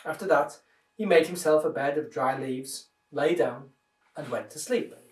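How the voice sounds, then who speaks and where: A man reading a children's story aloud in an expressive, dramatised way. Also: fairly high pitch, unhurried. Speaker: minister reading children's stories. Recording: roomy echo, distant microphone.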